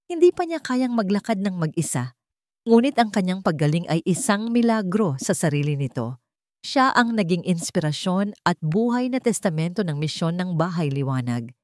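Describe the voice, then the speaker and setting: A woman's voice: fairly low pitch, very animated, moderate pace, a little mellow, no echo, clean studio sound. A woman narrating a scripted, sentimental story in an earnest, dramatic manner.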